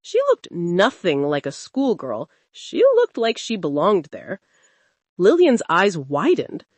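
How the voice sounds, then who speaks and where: A woman storyteller giving an expressive, suspenseful narration of a scripted dramatic folktale. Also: fairly low pitch, slow, no echo.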